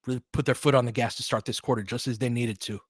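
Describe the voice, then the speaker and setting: A man's voice: medium pitch, steady tone, rapid-fire, no room sound, clean studio sound. A man giving informal, partisan live basketball commentary as a host, reacting openly to the plays like a fan.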